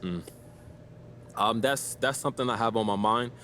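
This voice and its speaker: An actor plays a young male rookie ballplayer in a video game, with an average-pitched voice. He fields press questions casually and diplomatically, easygoing yet a little guarded, over faint ambient noise. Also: moderate pace, fairly bright tone, natural voice. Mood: thoughtful, easygoing. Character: young upbeat rookie basketball star, easygoing but guarded.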